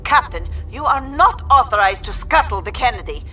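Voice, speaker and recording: An actress playing an alarmed, commanding government official in a sci-fi motion comic, urgent and forceful. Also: female voice, a little high, lively intonation, moderate pace, faint music behind, low-quality recording. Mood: alarmed, angry.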